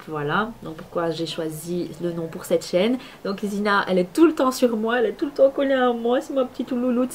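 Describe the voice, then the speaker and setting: Woman, 20s, lowish voice, chatty vlogger, lots of hesitations, light background hiss. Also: a little mellow. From Belgium. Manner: chatty, many fillers and restarts. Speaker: beauty vlogger. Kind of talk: casual talk to camera. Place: apartment at home.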